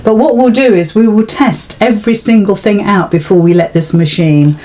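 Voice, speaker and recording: A woman's voice: medium pitch, normal speed, mellow. A British woman giving an informal, step-by-step craft demonstration in a chatty, reassuring way. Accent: British English. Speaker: sewing tutorial host.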